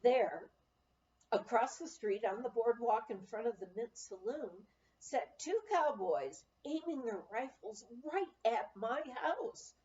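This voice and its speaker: A woman reading a memoir aloud as expressive storytelling, acting out the dialogue as she goes. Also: on the high side, slow pace. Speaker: audiobook reader. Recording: roomy, slightly dull home recording.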